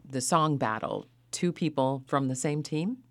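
A radio interview host who asks questions in a measured way and explains things for listeners. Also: mid-range voice, animated, fairly bright tone, dry recording.

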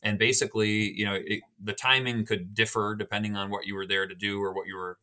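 A man's voice: lowish voice, fairly quick. A man in his thirties from the United States, a podcast guest and author, explaining at an easy pace. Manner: relaxed, explanatory, with fillers. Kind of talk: casual podcast conversation.

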